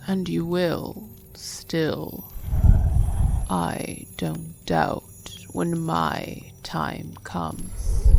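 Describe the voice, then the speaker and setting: A gravelly-voiced pleading advocate. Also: female voice, lowish voice, noisy background, full character voice. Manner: earnest, measured pleading. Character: Abathine, fierce devoted woman pleading for a soul. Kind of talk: audio drama acting.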